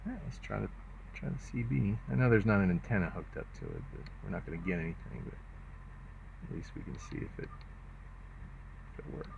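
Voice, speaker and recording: A man narrating a hands-on install in a casual, low-key way, muttering to himself as he works. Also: expressive, brisk, gravelly, pauses often. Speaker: vlogger doing truck accessory installs. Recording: muffled lo-fi audio, faint noise, clicks.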